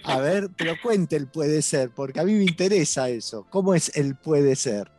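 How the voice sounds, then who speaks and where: A man's voice: a little high, lively intonation, normal speed, darkish tone, no echo, faint music behind. Man hosting a radio interview. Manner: friendly, coaxing questions. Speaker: radio host.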